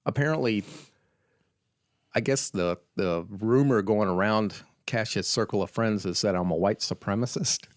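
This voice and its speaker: A man in his fifties, co-hosting a podcast, telling stories in a halting way with dry humor. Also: lowish voice. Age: 50s. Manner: halting storyteller, trailing fillers and restarts, dry. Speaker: podcast co-host. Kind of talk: casual chat.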